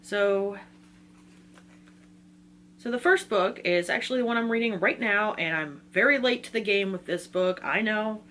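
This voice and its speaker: A woman's voice: mid-range voice, normal speed, pauses often, fairly bright tone, slight background noise. A woman talking casually in an informal, self-deprecating way, like a book vlogger running through a reading list.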